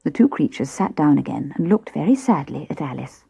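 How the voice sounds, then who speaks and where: A woman's voice: deep voice, big pitch swings, a little fast, gravelly, mellow, breathy edge. A woman, reading a children's storybook expressively. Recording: cassette storybook with faint music.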